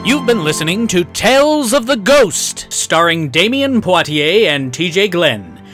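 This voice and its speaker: High-voiced melodramatic male radio narrator. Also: sing-song, a little slow, fairly bright tone, soft background music. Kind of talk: dramatic old-time radio serial narration.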